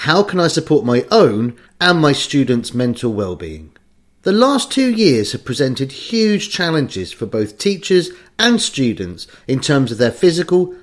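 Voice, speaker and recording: A man hosting a podcast, reading a scripted introduction in a clear, measured way. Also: mid-range voice. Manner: clear, measured read-out delivery. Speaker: podcast host.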